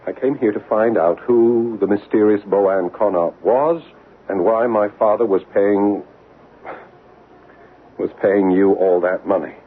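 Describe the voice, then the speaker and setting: An actor playing a troubled, wary, stubborn grown son, earnest and dramatic in the manner of old-time radio drama. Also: male voice, lowish voice, a little slow. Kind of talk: old-time radio drama acting and first-person narration. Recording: tinny old radio broadcast, music behind. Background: music.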